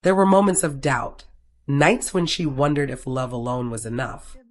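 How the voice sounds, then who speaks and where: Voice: medium pitch, expressive, normal speed. A narrator reading a scripted romantic story, telling it in the third person.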